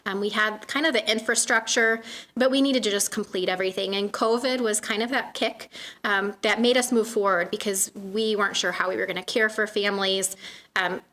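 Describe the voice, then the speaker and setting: A soft-spoken woman in her thirties giving a presentation to a community meeting in a room, with frequent "um" fillers. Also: medium pitch, steady tone, fairly quick. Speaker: guest speaker from a donation nonprofit.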